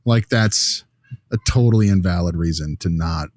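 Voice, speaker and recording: A man's voice: low-pitched, normal speed, a little mellow, no room sound, studio quality. A man speaking informally in a casual podcast discussion, blunt and opinionated as a co-host.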